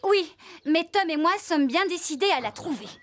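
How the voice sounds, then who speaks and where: High-voiced, determined cartoon farmer. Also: female voice, expressive, moderate pace. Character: determined, caring woman farmer in children's cartoon. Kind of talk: animated cartoon dub acting. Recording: loud background music under dialogue.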